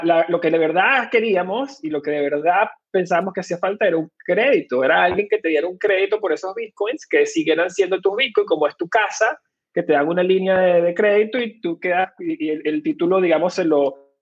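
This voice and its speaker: A man's voice: high-pitched, breathy edge, lo-fi sound. A man from Venezuela with a Venezuelan accent, a company cofounder explaining things at length in an interview, with many fillers and restarts. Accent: Venezuelan Spanish. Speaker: guest, crypto company cofounder. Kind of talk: conversational interview answers.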